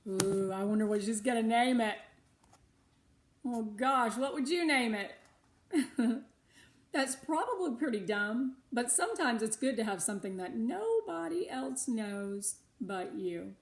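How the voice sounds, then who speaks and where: A middle-aged or older woman reading a storybook aloud to schoolchildren, animated, with chatty asides of her own. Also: a little high, unhurried. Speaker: read-aloud host for schoolchildren.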